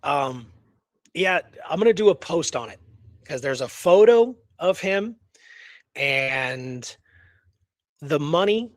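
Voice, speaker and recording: A man with a slightly high voice co-hosts a conversational history show. He muses aloud, throwing out questions and small tags for agreement. The sound is very dry, with no room to it. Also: expressive, takes it slowly, long pauses, dry recording. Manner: musing, poses rhetorical questions and seeks agreement with tags. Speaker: co-host of a history radio show. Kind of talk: casual conversational discussion of a historical mystery.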